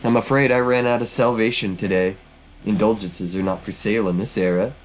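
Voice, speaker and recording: A man's voice: lowish voice, steady tone, a little fast, slight background noise, natural voice. A man voicing a vengeful witch woman from hell, speaking in a theatrical, formal and taunting way. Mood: cruel, mock sorrow.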